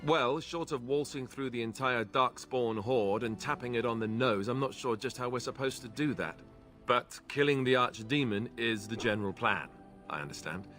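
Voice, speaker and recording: An actor playing a young warrior companion in a video game, wry and earnest, speaking in an understated way. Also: male voice, medium pitch, moderate pace, a bit bright, soft background music, lightly acted. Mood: wry, resolute. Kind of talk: video game character dialogue.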